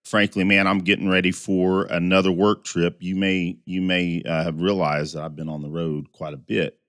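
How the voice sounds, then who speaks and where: A male podcast co-host with a deep voice, still scratchy from a cold. He talks in a loose, chatty way, often repeating and restarting his words. The sound is dry and close. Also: flat delivery, normal speed, a bit bright, dry recording. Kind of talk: casual podcast chat.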